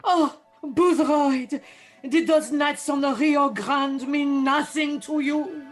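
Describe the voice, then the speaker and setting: A woman playing a flamboyant French gentleman thief with a put-on, exaggerated French accent in a comic radio drama, pompous and melodramatic. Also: high-pitched, slow, soft background music. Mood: flirtatious, theatrical. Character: flamboyant male French gentleman burglar, theatrical and grandiose.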